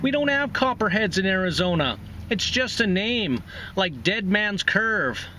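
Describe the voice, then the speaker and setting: A firm, matter-of-fact young woman from a short story , acted out by a man, blunt and insistent. The voice sits high and bright, over a noisy recording. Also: very animated, measured pace, exaggerated voice. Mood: firm, dismissive.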